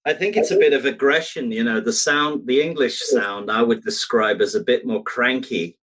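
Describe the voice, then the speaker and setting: Male recording engineer from Belgium, mid-pitched, opinionated, casual conversational delivery. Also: moderate pace, fairly bright tone. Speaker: recording engineer, interview participant.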